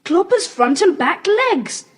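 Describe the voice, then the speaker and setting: A woman playing a keen, excitable young schoolboy in a children's audio adventure. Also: sits high, measured pace. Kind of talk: children's audiobook drama acting. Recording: faintly hissy cassette tape.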